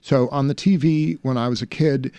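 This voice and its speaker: A man's voice: medium pitch, fairly even intonation, normal speed, mellow, no echo. A man in his forties from Pittsburgh, an actor talking casually in an interview, thoughtful, often restarting sentences and laughing easily. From Pittsburgh, USA. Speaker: stage actor guest. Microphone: studio mic.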